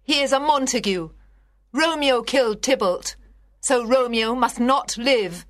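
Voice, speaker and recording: A woman's voice: fairly high pitch, unhurried, close to an everyday voice. A woman playing an anguished noblewoman who demands vengeance, dramatic and emphatic, in an audiobook tragedy. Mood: accusing.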